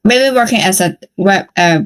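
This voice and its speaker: A woman's voice: mid-range voice, moderate pace. A woman from Hong Kong with a Hong Kong accent and Cantonese as her native language, chatting casually and politely in English practice, with some hesitation. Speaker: English conversation practice participant. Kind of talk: casual chat.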